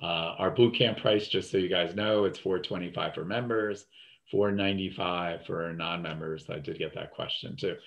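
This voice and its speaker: A man's voice: low voice, steady tone, normal speed, creaky, a bit bright, dull audio. A man with a New Jersey accent hosting a webinar over a video call, talking loosely with lots of fillers. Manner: loose, rambling, repeats fillers. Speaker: webinar host. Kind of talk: casual webinar talk.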